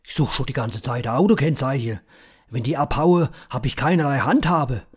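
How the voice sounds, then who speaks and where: A man's voice: mid-range voice, moderate pace, husky, natural voice. A man voicing an indignant, blustering Bavarian farmer who grumbles and complains in a storybook reading.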